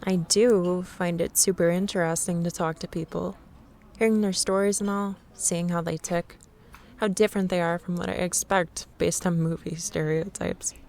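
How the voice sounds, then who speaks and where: A woman's voice: on the low side, fairly even intonation, moderate pace, darkish tone, a little hiss or hum. Shy, rambling young woman. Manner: shy, nervous, rambling and self-deprecating. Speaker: ASMR roleplay performer. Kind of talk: scripted ASMR roleplay monologue.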